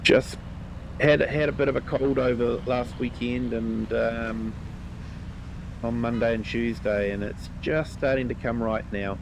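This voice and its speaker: A man with a New Zealand accent hosting a live gameplay stream, chatting with viewers in a relaxed way, his voice a little croaky from a cold. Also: mid-range voice, a little slow, noisy background. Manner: relaxed, chatty, greets viewers by name, many ums. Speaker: livestream host.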